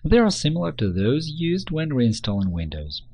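A man reading a scripted instructional narration in a measured, step-by-step manner. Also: fairly high pitch, wildly swooping pitch, a little mellow, slight background noise. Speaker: tutorial narrator.

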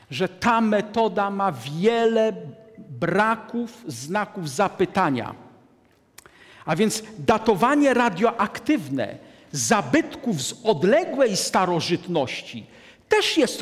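A man in his sixties giving a deliberate, emphatic lecture to an audience from a lectern in a large hall. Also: sits high. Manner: deliberate, emphatic, gestures at a map. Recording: long hall reverb.

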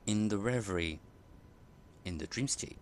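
A man's voice: fairly low pitch, measured pace, slight background noise. A man casually commenting over his gameplay, rambling and hesitant with many fillers and restarts. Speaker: gaming streamer. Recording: game music loud behind voice.

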